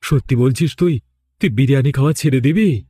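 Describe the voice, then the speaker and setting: A man plays a village father in a horror cartoon. He is protective, suspicious and dramatically insistent, with a medium-pitched voice recorded very dry. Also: a little fast, mellow, husky, no room sound, lightly acted.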